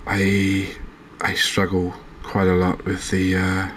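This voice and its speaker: Casting: male game streamer, deep and flat-toned, understated ASMR commentary, slight background noise. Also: takes it slowly. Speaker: gaming channel host.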